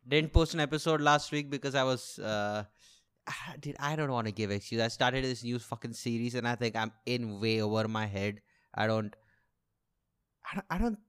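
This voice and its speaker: A man's voice: mid-range voice, fairly bright tone, clean tone. A young man with an Indian accent rambling casually as a solo comedy podcast host, sweary and self-deprecating, with a complaining delivery. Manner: self-deprecating, sweary, repeats and rephrases himself.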